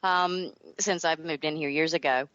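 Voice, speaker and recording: Low-voiced woman on dull, dry audio. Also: fairly even intonation, normal speed, fairly bright tone, dry recording. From the American South. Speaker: interview guest, writer. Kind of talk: casual interview chat.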